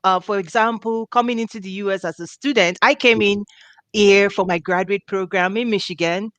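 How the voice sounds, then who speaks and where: A woman's voice: medium pitch, fairly even intonation, fairly quick, a bit bright, dry recording. A middle-aged woman from Nigeria hosting a video call interview on a headset, bubbly and laughing often. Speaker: host. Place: home office with diplomas.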